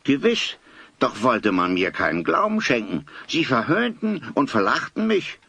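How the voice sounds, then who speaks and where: Casting: elderly grandfather for audio drama, creaky and forceful, solemn, wordy, theatrical storyteller. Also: medium pitch, wildly swooping pitch, measured pace, dry recording, full character voice. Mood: aggrieved, worried. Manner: dramatic, old-fashioned wordy delivery. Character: solemn old grandfather, vindicated eyewitness storyteller. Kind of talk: German audio drama acting.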